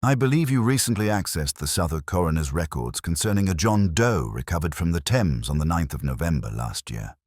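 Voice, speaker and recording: A man's voice: deep voice, big pitch swings, fairly quick, hardly any pauses, darkish tone, no room sound, clean studio sound. A man voicing a cool, relentless detective in a storybook mystery, questioning in a level, precise manner. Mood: level, probing. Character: cool, incisive male detective, relentless and commanding. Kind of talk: audiobook detective story dialogue.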